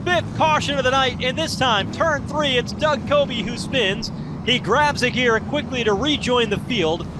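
A man calling live play-by-play for a stock car race, with excited, rapid race calling. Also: extremely high pitch. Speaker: race commentator. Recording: loud race-car noise underneath. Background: roaring race engines.

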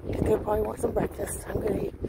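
A woman's voice: mid-range voice, flat delivery, rapid-fire. A middle-aged American woman from Washington State chats casually and folksily to viewers while walking outdoors, holding her phone at arm's length. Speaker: vlogger. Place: outdoors on a rural residential road. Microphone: handheld phone at arm's length. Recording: noisy outdoor phone recording with clicks.

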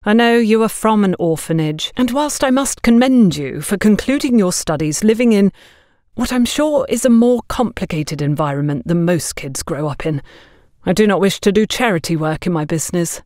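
A woman's voice: mid-range voice, animated, normal speed, a little mellow, no echo, studio quality, close to an everyday voice. Woman playing a stern, unimpressed lady boss. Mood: stern, skeptical. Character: stern, frank middle-aged businesswoman, unimpressed boss.

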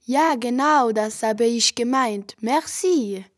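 A woman's voice: on the high side, takes it slowly. A woman acting a curious, stubborn young foreign newcomer in an amateur radio play. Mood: curious, earnest.

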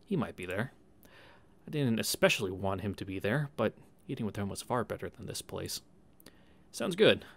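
A man casually reading a visual novel aloud as a streamer, with halting, wry asides. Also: fairly low pitch, gravelly. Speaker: let's play streamer. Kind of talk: casual read-through of a visual novel with commentary. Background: game music.

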